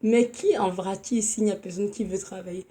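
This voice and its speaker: Hoarse woman preaching earnestly at home. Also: mid-range voice, fairly even intonation, warm tone. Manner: earnest, halting, with many fillers and restarts. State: hoarse with a cold. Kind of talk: informal home sermon.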